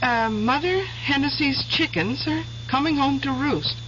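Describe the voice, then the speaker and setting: An affectionate, bright-voiced young wife. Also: female voice, high voice, a little slow. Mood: curious, probing. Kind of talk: radio drama acting. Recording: dull hissy old radio recording.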